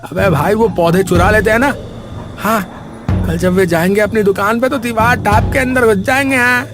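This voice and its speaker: Man voicing a high-pitched scheming village vegetable seller. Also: fairly even intonation, moderate pace, music in the background, lightly acted. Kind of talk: comic cartoon dialogue.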